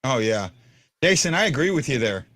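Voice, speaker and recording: A man with a deep voice hosting a casual fan podcast, rambling with stumbling restarts. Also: very animated, fairly quick, fairly bright tone. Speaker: podcast host.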